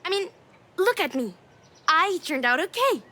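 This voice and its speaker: A woman voicing a young daughter in a dramatic video game, curious, gentle and consoling, speaking with earnest support. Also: very high voice, sing-song, unhurried, full character voice. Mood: warm, encouraging.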